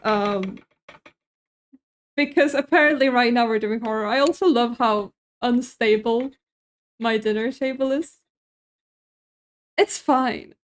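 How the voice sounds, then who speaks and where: A young woman with a high, light voice chats with her viewers as she cooks at home. She, laughs easily, and answers chat messages as they come in. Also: takes it slowly, pauses often. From Sweden. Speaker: streamer. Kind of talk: casual chat while cooking on stream.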